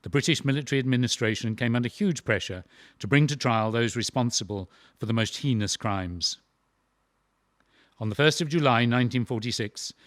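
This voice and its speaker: A man's voice: medium pitch, no echo, clean studio sound. A man delivering scripted, formal, grave narration for a historical drama.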